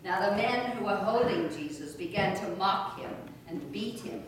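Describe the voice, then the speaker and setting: A woman reading scripture narration in a measured, deliberate way during a church service. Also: mid-range voice, lively intonation. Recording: very echoey church, distant mic, noisy.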